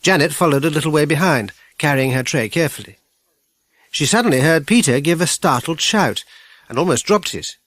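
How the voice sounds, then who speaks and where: A man's voice: a little high, animated, brisk, a bit bright, no room sound. British man narrating a children's story. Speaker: audiobook narrator.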